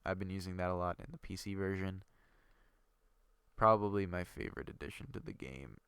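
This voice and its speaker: A young man with a low, gravelly voice and a stuffy, congested nose narrates his building game in a loose, rambling way. His words come out smooth and close-up, in a dry room with no echo, broken by fillers and half-finished thoughts. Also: brisk, a bit bright. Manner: rambling, thinking aloud with many fillers. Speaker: gaming video creator. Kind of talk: casual gameplay commentary.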